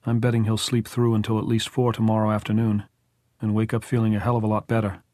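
Male reader as a wry practical man, dry manner, lowish pitch, audiobook dialogue. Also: monotone, fast, warm tone, no room sound, natural voice. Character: wry, practical man, gently weary, mildly profane.

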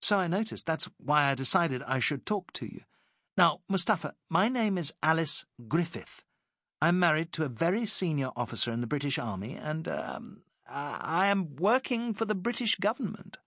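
A man voicing a poised British woman working as a secret agent, speaking crisply and persuasively. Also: a little high, sing-song, normal speed, a bit bright, dry recording, clean studio sound, voice pushed up.

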